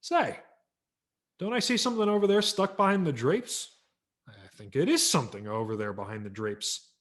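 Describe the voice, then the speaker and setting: A man's voice: fairly high pitch, big pitch swings, normal speed, fairly bright tone, pitched above the performer's own voice. A man voicing a genial, slightly tipsy father in a storybook reading, casual and playful. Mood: playfully coy.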